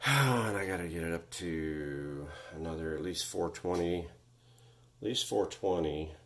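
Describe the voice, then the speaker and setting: A man casually walking through a repair at his home workbench, thinking aloud with frequent pauses and ums, recorded on a phone on a tripod. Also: rumbling low, a bit bright. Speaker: vintage electronics repair host. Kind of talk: casual workshop walkthrough. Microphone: phone camera on a tripod.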